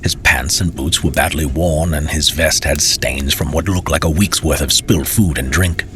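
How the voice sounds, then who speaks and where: A man's voice: rumbling low, normal speed, fairly bright tone, soft background music. A man narrating a fantasy tale as a storyteller, with dramatic, theatrical and courtly phrasing.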